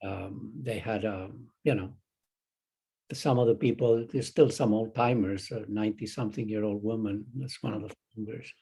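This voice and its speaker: An older man from Chile with a Chilean Spanish accent, a Zen teacher talking as an interview guest, halting with many restarts and fillers. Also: lowish voice, steady tone, gravelly, dark tone, breathy edge, low-quality recording. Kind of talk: casual interview conversation.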